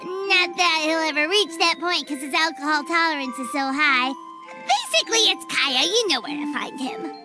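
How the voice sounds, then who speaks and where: A woman voicing a tiny, perky, childlike fairy companion in a video game, squeaky and chatty. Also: expressive, moderate pace, music in the background. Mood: chirpy, friendly. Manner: perky, chirpy, refers to self in third person. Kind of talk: video game cutscene acting.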